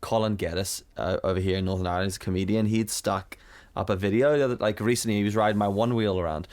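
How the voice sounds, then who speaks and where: A man with a loud, boomy South African accent, pitched a little low. He co-hosts a loose, casual chat, swears freely and laughs at himself as he goes. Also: fairly even intonation, fast talker. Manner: swears freely, laughs often, self-mocking. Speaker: podcast co-host.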